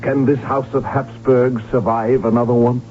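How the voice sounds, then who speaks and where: A man's voice: mid-range voice, flat delivery, normal speed, loud music behind the voice, lightly acted. An actor playing a proud, desperate middle-aged prince in a radio drama, intense and formal in his delivery. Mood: defiant. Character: proud, desperate, defiant middle-aged male Habsburg heir. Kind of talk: 1970s radio drama acting. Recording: tinny, humming old radio recording.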